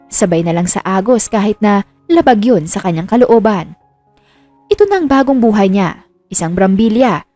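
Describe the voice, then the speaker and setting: Mellow woman narrator, very dry sound. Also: medium pitch, dry recording. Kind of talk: scripted narration of romantic drama. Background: music.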